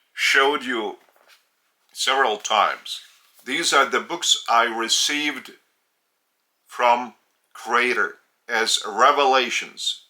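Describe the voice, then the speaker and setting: A man with a Lithuanian accent, a native Lithuanian speaker, giving a religious teaching talk in deliberate, halting short phrases. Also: medium pitch, sharp tone. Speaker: spiritual teacher.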